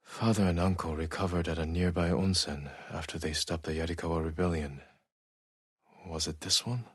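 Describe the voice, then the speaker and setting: Low, husky, stoic samurai. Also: male voice, steady tone, no echo, studio quality. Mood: reflective. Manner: restrained, solemn, measured delivery. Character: stoic, honorable samurai warrior, grieving and reflective. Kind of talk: dramatic video game acting, reflective monologue.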